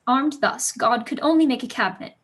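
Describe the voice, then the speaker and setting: Casting: woman to play headstrong teenage girl pupil in period drama, highish voice, eloquent, eager, loves an argument. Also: fairly quick, a bit bright. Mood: excited, defiant. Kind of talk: staged reading of a period play.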